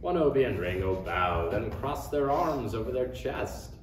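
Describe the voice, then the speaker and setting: A man's voice: mid-range voice, expressive, a little slow. A man reading stage directions as scripted narration at a live table read. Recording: echoey room, distant mic, faint noise.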